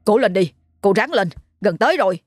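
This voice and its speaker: A woman's voice: mid-range voice, expressive, fairly quick, no echo, lightly acted. A woman voicing a kind older male driver who anxiously urges and coaxes a woman in labor, in an audio story. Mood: alarmed, coaxing. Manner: urgent, coaxing, anxious. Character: kind older man driver, flustered but caring.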